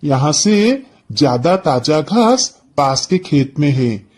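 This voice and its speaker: Cunning cartoon crow, voiced by a man: slightly high male voice, slow sweet-talk, scheming. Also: very animated, darkish tone, full character voice. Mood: sly coaxing. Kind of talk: cartoon moral story acting.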